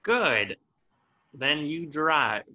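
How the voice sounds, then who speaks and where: A man's voice: on the high side, big pitch swings, drawn-out words, bright, forceful tone, highs cut off, exaggerated voice. A man playing a grumpy, exasperated cartoon squid cashier in a table reading, irritable and sarcastic. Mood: impatient.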